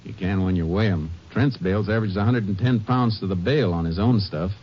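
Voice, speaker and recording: An actor playing a shrewd, steady Texas Ranger in a 1950s radio drama, terse, probing and matter-of-fact. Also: male voice, on the low side, brisk, close to an everyday voice. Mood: businesslike, determined. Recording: muffled, noisy old radio recording.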